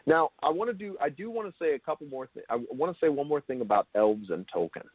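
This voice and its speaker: A man's voice: a little high, animated, fast, dry recording. A man hosting a casual interview, often repeating and rephrasing himself as he works toward his point. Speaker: show host interviewer. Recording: narrow phone-like call line.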